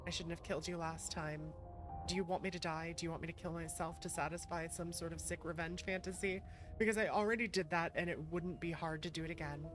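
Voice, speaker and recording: A woman's voice: on the low side, fairly even intonation, quick pace. A woman streaming a game with casual commentary, hesitantly thinking aloud and reading choices out. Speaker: streamer playing a visual novel. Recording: music playing behind the voice. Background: game music.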